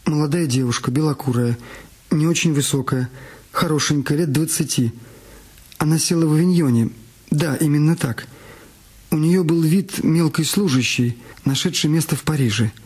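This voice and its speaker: A man in a radio play speaking as a reluctant witness, recalling fellow passengers in a measured way. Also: a little high, a little mellow, breathy edge. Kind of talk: radio drama reading, narration and dialogue. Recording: studio radio play with music underneath.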